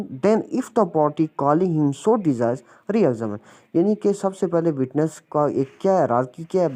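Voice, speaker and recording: A man with a Pakistani accent giving an explanatory law lecture, slowing down when he reads out legal text. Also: on the high side, mellow. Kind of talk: explanatory lecture mixing Urdu and English.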